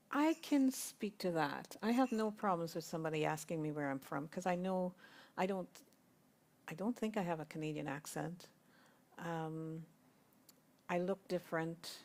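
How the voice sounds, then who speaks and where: Woman, low-set voice, measured and thoughtful, sharing in a spiritual discussion group. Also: a little mellow. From Guyana. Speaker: congregation member sharing. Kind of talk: reflective sharing in a discussion circle. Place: spiritual centre gathering.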